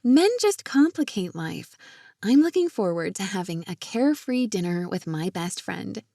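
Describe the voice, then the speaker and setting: A woman's voice: mid-range voice, animated, normal speed, creaky, mellow, no echo, clean studio sound. A woman voicing a bubbly, teasing young woman in audiobook character dialogue. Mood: excited, teasing. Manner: exclamatory, teasing. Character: bubbly, playful young woman out with her best friend.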